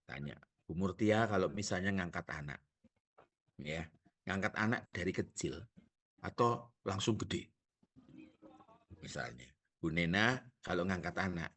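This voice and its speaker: A man's voice: low-pitched, fairly bright tone, husky. A male pastor with a Javanese accent preaching a sermon, asking the congregation rhetorical questions and joking.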